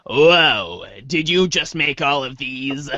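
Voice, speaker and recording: A man's voice: on the high side, expressive, takes it slowly, sharp tone, no echo, exaggerated voice. A man voicing a curious young boy in a cartoon villain voice, in a muffled lo-fi recording.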